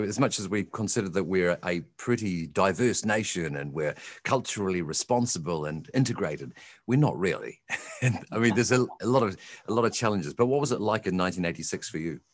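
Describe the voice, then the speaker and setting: A man's voice: low-pitched, expressive, a little fast, no echo. A man raised in New Zealand interviewing a guest, conversational and probing, adding his own asides.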